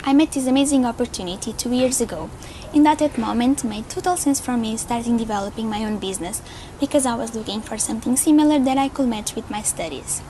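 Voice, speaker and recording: Mellow-voiced young Portuguese woman. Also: a little high, fast talker, a little hiss or hum, low-quality recording. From Lisbon, Portugal. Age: early 20s. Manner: earnest, grateful. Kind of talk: testimonial video.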